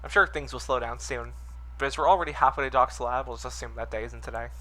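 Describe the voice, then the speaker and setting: A man's voice: medium pitch, steady tone, fast, pushed and bright, low-quality recording. A man reading visual novel narration expressively, with casual, joking asides. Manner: breaks into laughter and joking asides. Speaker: reader in a group let's-read of a visual novel. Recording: music playing behind the voice.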